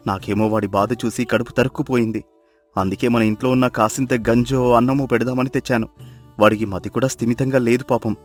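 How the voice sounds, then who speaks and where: A man's voice: medium pitch, steady tone, fairly quick, natural voice. A man playing a kind, poor fisherman in a dramatized moral story, speaking earnestly and with compassion. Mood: earnest, pleading. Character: kind, poor fisherman pleading for mercy on a stranger. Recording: music behind the voice.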